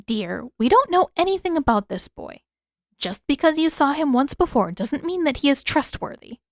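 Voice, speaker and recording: A woman voicing a stern older mother and master healer, measured and disapproving, in an audio story. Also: high-pitched, very animated, no echo, studio quality, pitched above the performer's own voice.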